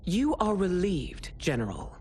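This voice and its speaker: A woman's voice: on the low side, big pitch swings, measured pace, heavy background noise. A woman playing a proud, indignant warrior empress in a video game cutscene, speaking in a stern, regal, formal way. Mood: bitter, commanding.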